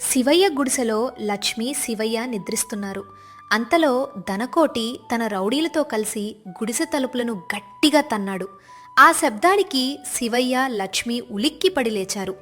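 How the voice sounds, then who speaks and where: A woman's voice: a little high, expressive, normal speed, fairly bright tone, faint music behind. A woman narrator reading a scripted moral tale as storytelling narration.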